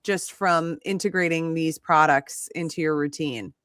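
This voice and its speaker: A woman's voice: low-pitched, steady tone, unhurried, a bit bright, dry recording, studio quality. A woman hosting a live skincare stream, chatty and enthusiastic. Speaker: host.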